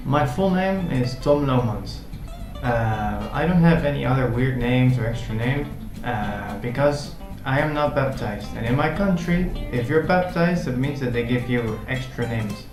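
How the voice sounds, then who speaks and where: Male vlogger, 20s, Dutch accent, casual delivery, echoey room with guitar music underneath. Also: medium pitch. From Netherlands. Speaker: vlog host. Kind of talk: casual chat to camera. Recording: roomy echo, guitar music behind.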